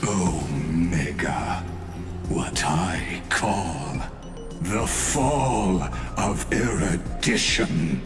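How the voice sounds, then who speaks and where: An ancient, coldly fanatical mastermind from a video game, his low voice unfolding extremely slowly. He speaks with solemn pomposity, every phrase deliberate, echoing over prominent music. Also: lively intonation, exaggerated voice. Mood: grandiose, fanatical. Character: grandiose ancient male genius villain, a machine-bound mind, coldly fanatical. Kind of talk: dramatic scripted game villain monologue.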